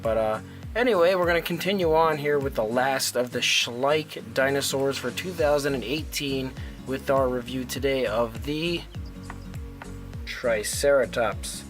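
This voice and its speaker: A man hosting a toy review, his voice a little raspy from a cold, talking enthusiastically with frequent "uh" fillers. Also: medium pitch, a little slow, soft background music. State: sick with a cold, slightly raspy. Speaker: toy reviewer, channel host. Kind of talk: casual product review.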